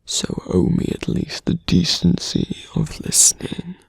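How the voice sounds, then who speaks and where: A gravelly, heavily creaking villain acted out by an ASMR performer speaks slowly and very close, in a dry space. The voice is breathy and often sinks into a whisper, seductive and taunting with quiet menace. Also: mid-range voice, very animated, no echo, heavily acted. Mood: taunting, menacing. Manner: slow, deliberate, taunting. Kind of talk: intimate ASMR roleplay acting.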